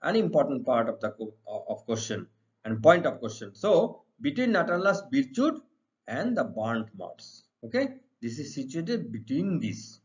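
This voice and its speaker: A man's voice: medium pitch, big pitch swings, a little slow. A middle-aged man with an Indian accent teaching an exam lesson, reading out questions and explaining the answers emphatically with repeated phrases. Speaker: English teacher. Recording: dull lo-fi recording.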